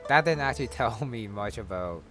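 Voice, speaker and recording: A man's voice: medium pitch, expressive, fairly quick. A man casually thinking aloud while playing a video game. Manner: offhand, musing, thinking aloud. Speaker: gamer. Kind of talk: casual let's-play commentary. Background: game music.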